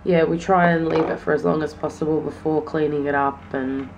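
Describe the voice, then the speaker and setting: A woman's voice: low-pitched, steady tone, fairly quick, creaky, highs cut off, noisy background. A young woman talking casually to her vlog audience, hesitant and full of fillers. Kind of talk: casual vlog chat to camera.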